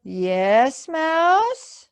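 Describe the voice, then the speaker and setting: A woman voicing a big, polite, food-loving male monster in a children's storybook, speaking slowly and courteously. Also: high voice, big pitch swings, voice pushed up, full character voice. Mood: apologetic, puzzled.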